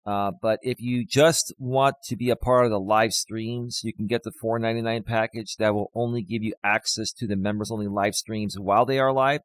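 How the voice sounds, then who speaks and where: A man hosting his own live stream as a life coach, talking casually to viewers with a slightly hoarse voice and lots of fillers. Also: lowish voice, steady tone, normal speed, few pauses, no room sound. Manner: rambling, many fillers, talks straight to viewers.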